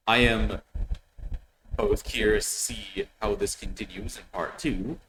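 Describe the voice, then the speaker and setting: A man hosting a reaction video with casual commentary, speaking in a hesitant, halting way and often repeating words. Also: on the low side, background noise.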